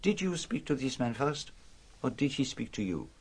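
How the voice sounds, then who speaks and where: Courteous detective, mid-pitched, formal inverted phrasing, commanding, radio drama. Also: male voice, very animated, normal speed, breathy edge. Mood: probing, firm. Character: shrewd, courteous foreign detective, probing and commanding man.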